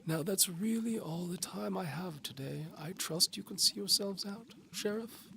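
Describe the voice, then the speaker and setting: A man's voice: high-pitched, a little slow, creaky, bright, forceful tone, a little hiss or hum, voice pushed up, full character voice. A man voicing a pompous, snappish old duke and alchemist in an audio drama. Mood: haughty, dismissive. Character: stern, haughty old duke and alchemist, male.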